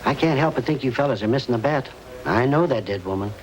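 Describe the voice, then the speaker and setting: A man's voice: medium pitch, lively intonation, quick pace, natural voice, poor audio. Guy doing a folksy old circus guy, rambly and insistent, voice all over the place, hissy old radio. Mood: rambling. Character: eccentric older male ex-circus hand. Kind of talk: 1950s radio drama acting. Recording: old radio broadcast with hiss.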